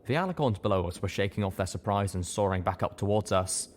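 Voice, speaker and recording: Low-voiced man narrating a story. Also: expressive, quick pace, slight background noise. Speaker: audiobook reader. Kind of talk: first-person fiction narration.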